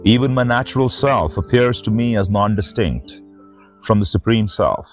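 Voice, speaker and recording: A middle-aged man reading and commenting on scripture as a spiritual teacher, in a measured, reverent manner. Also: fairly low pitch, expressive. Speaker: spiritual teacher and channel host. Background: background music.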